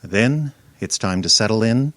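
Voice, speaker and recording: Measured male radio theater host, dry sound. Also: lowish voice, lively intonation, a bit bright, no echo. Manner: measured, dramatic pauses. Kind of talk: scripted theatrical show introduction. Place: room in a home.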